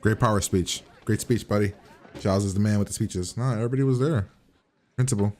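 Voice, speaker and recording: A man's voice: on the low side, fast talker, darkish tone. A man giving casual, profane reaction commentary in a blunt, slangy way. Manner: blunt, slangy, swears constantly. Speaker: host reacting to a TV episode.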